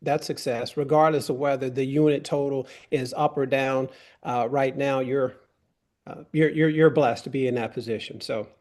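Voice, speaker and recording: A man's voice: medium pitch, fairly even intonation, normal speed, a little mellow. Folksy, affirming male interview host. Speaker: real estate agent and show host. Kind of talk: interview on video call.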